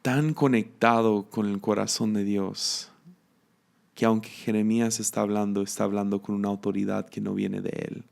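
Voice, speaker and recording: A man with a Mexican accent giving a reflective devotional teaching, musing aloud with restarts and soft laughs. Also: on the low side, flat delivery, moderate pace, vocal fry. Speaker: preacher.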